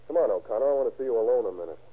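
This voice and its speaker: An actor playing a brusque, skeptical police detective who fires curt questions in a 1930s radio crime drama. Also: male voice, mid-range voice, animated, racing, natural voice. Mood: stern, pressing. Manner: curt, clipped, pressing questions. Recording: tinny, narrow-band old radio recording.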